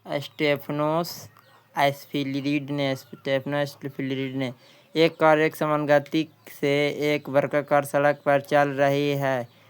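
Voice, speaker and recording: A man from India working as an exam-prep tutor, reading quiz questions and answers aloud, haltingly and often repeating phrases. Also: on the high side, level tone, smooth.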